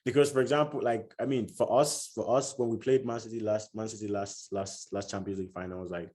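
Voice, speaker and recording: A man's voice: on the low side, steady tone, brisk, a little mellow. A man chatting casually about football as a podcast guest, often restarting and repeating words as he thinks aloud.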